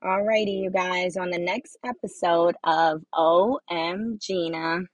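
High-voiced young woman podcaster, emphatic. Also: fairly even intonation, measured pace, dry recording. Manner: emphatic, repeats phrases for encouragement. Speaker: podcast host. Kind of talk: casual motivational monologue.

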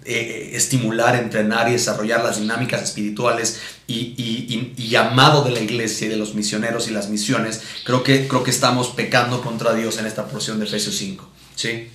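A man's voice: mid-range voice, level tone, fairly quick, a bit bright, a little hiss or hum. A man with a Mexican accent teaching a sermon in a didactic way, repeating his points and checking in with rhetorical questions. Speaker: pastor teaching at a missions conference. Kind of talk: teaching sermon with Bible readings. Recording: roomy, echoing sound.

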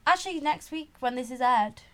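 A woman's voice: high-pitched, lively intonation, fairly quick, bright, forceful tone. A woman in her twenties co-hosting a podcast, chatting casually, often laughing and filling her talk with "like". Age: 20s. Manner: chatty, laughs a lot, lots of likes. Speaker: podcast co-host. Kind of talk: casual chat.

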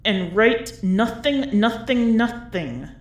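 A woman's voice: on the high side, animated, slow, reverberant, rough recording. A woman voicing an ominous, bitter prophet who gives terse, incantatory warnings in a storybook reading. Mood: grim, commanding.

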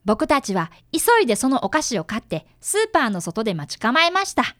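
A woman's voice: on the high side, sing-song, fairly quick, a bit bright, no echo, studio quality. A woman playing an earnest, polite fifth-grade boy, an apprentice detective in a radio drama. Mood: narrating. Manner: polite, precise, boyish. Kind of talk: radio drama dialogue and first-person narration.

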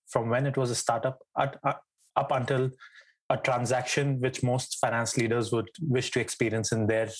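A man's voice: mid-range voice, slightly rough, poor audio. A man hosting a podcast interview, friendly, with many fillers and restarts. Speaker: podcast host.

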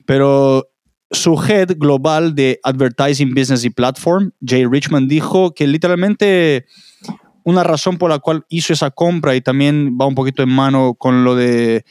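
A man's voice: medium pitch, unhurried, darkish tone, clear, resonant tone, no echo, studio quality. A man giving conversational, explanatory commentary as a podcast co-host.

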